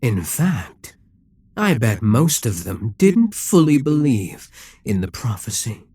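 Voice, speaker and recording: A smug, dominant Demon King, acted by a man, speaks with a husky, breathy voice in a very dry, close-sounding ASMR roleplay. He takes his time, taunting and persuading with slow, deliberate menace. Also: mid-range voice, big pitch swings, warm tone, no room sound, full character voice. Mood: scornful, calculating. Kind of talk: dramatic ASMR roleplay monologue.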